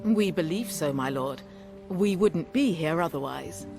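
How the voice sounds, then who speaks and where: A diplomatic adventurer envoy in a fantasy video game. She is formal and discreet, with a lively pitch range and music playing behind her. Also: measured pace, vocal fry, exaggerated voice. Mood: guarded, respectful. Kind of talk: video game cutscene acting.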